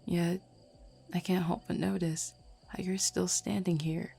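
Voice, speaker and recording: A woman voicing a flirty, self-assured female werewolf in an intimate roleplay audio, teasing softly and without hurry. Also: on the low side, level tone, a little slow, vocal fry, slightly rough, faint music behind, full character voice.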